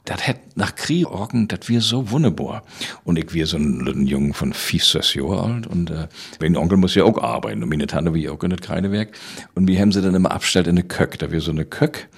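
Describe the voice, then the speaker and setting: A man in his sixties with a northern German Low German accent, telling anecdotes vividly in a conversational interview. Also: animated, brisk, creaky, husky. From island of Rügen, northern Germany. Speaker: playwright and storyteller, interview guest.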